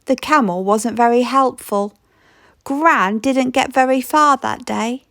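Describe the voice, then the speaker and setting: A woman reading a children's picture book aloud, slow and expressive with a playful rhythm. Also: fairly high pitch, no room sound, studio quality. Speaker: storybook reader.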